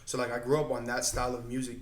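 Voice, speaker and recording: A man's voice: mid-range voice, monotone, rapid-fire, reverberant. A young man whose first language is Bosnian, a guest rapper chatting in a laid-back, slangy way. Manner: laid-back slangy talk, says "you know what I'm saying" often. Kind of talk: casual interview chat.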